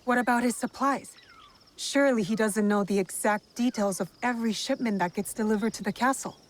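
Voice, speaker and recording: A woman's voice: a little high, fairly quick, close to an everyday voice. An actress playing a determined young female warrior in a video game, blunt but compassionate, with a direct, probing way of speaking. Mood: businesslike. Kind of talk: dramatic video game cutscene acting.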